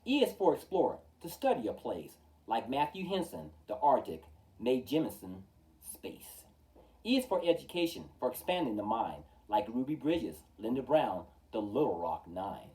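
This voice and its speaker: A man hosting a storytime, reading a rhyming children's book aloud in a theatrical, measured way, with emphatic openings and falling line endings. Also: medium pitch, big pitch swings, warm tone, recorded from a distance, rough recording. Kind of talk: expressive children's picture-book read-aloud in rhyme.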